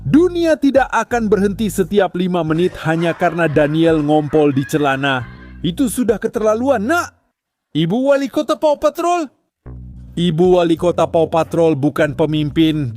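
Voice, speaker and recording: Pria bernada tinggi yang sarkastis dan ekspresif. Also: male voice, on the high side, a little mellow. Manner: sarcastic and exasperated, mocking tone. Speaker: commentary narrator. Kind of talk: comedic commentary voice-over.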